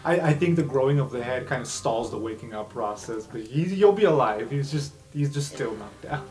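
A man serves as game master, narrating an improvised fantasy game. His voice sits at a middle pitch, with music playing underneath. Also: expressive, fairly quick, darkish tone.

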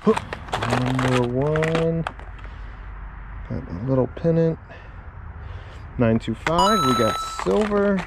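A man's voice: on the high side, lively intonation. A man giving casual running commentary as he digs through a storage unit, muttering to himself and cheering at good finds, recorded outdoors on a head-mounted camera. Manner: unhurried, muttering to himself, upbeat when finding items. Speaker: reseller sorting a storage unit. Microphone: head-mounted camera, point of view. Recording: loud background noise.

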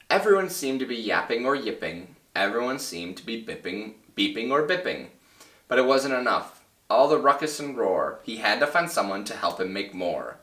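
A man in his twenties reading a children's story aloud as a teacher, expressive and clearly phrased for young children. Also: mid-range voice. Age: 20s. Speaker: first grade teacher. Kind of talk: children's read-aloud narration. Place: small indoor room with bare wall and whiteboard. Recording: roomy, slightly distant mic.

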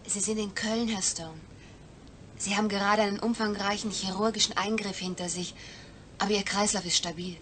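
An actress playing a polite, evasive hospital nurse in a German radio play. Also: female voice, medium pitch, steady tone, normal speed, fairly bright tone, a little hiss or hum, close to an everyday voice. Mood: calm, attentive.

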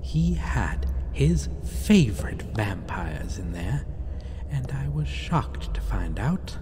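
Brooding, cruel male vampire for gothic ASMR, acted by a man: extremely slow, half-whispered monologue over noticeable background noise. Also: mid-range voice, big pitch swings. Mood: bitter, wounded. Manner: hushed, halting, drawn-out delivery, often whispering.

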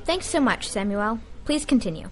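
High-voiced divine judge, formal and composed. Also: female voice, big pitch swings. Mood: formal, firm. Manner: formal, measured courtroom speech. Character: God as a female presiding judge, calm, authoritative. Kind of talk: audio drama acting.

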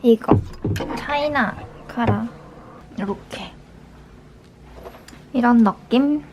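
A young adult woman from South Korea chats casually as a lifestyle vlogger during her daily routine, soft-spoken and unhurried. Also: on the high side, lo-fi sound. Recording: clear background room noise.

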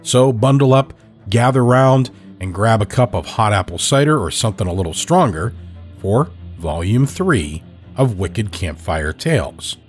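Low-voiced man narrating horror, widely varied intonation. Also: expressive, unhurried, faint music behind. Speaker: podcast host and storyteller. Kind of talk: scripted narration, horror storytelling.

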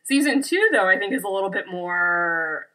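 Bright-voiced woman chatting on a podcast. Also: mid-range voice, lively intonation, moderate pace, rough recording. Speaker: podcast co-host. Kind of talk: casual chat.

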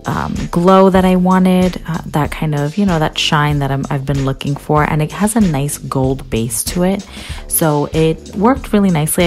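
A woman in her thirties doing a casual makeup tutorial, her voice raspy and a bit muffled from a cold, chatting through each product with frequent ums. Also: low-pitched, a little mellow. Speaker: beauty vlogger. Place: bedroom with window blinds, indoors.

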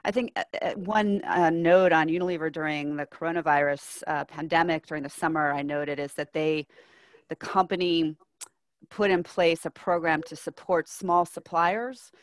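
A woman's voice: low voice, steady tone, normal speed, no echo. A middle-aged woman moderating an interview in a conversational way, speaking on a headset during a video call. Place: indoor room with sloped ceiling, on a video call.

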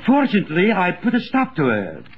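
Boastful sidekick, extremely animated, muffled vintage radio comedy sound. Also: male voice, sits high, brisk, full character voice. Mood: indignant, boastful. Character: boastful, foreign-born comic sidekick with mangled grammar. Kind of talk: 1950s radio comedy acting. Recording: muffled, hissy old radio recording.

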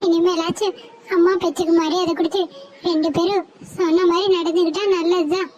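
A woman doing a very high fretful mother sparrow. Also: flat delivery, fairly quick, darkish tone, slight background noise. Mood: worried. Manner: scolding, anxious. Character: worried, scolding mother sparrow. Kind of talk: cartoon voice acting.

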